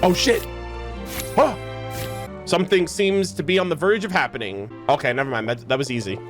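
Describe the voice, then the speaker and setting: A woman streamer giving casual live gameplay commentary in an expressive, slangy way, swearing now and then. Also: deep voice, moderate pace. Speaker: streamer reacting to a video game. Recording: game music behind the voice. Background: loud game music.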